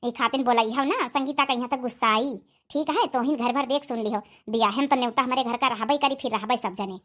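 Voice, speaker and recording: A protective middle-aged village auntie in a cartoon, acted by a woman. She has a high, bright voice and advises in a motherly way. Her accent is Awadhi. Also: a little fast. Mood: cautioning. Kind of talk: comedy cartoon voice acting.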